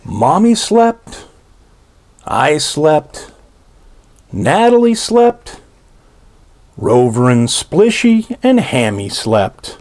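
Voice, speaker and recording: A man's voice: fairly high pitch, big pitch swings, drawn-out words, pauses often, a little mellow. A man reading a children's storybook aloud in a theatrical, playful way, drawing out his phrases.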